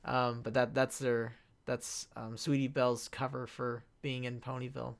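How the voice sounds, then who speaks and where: Male, casual panelist in a live question session, noticeable vocal fry, rambling and stop-start delivery. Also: medium pitch, little pitch movement. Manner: halting, rambling, self-interrupting. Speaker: panelist, web series creator answering fan questions.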